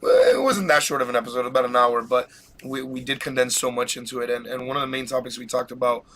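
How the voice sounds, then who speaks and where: Bright-voiced excited male podcast host. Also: mid-range voice, quick pace. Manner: excited, rambling, laughs often. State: has a cold. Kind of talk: casual live podcast chat.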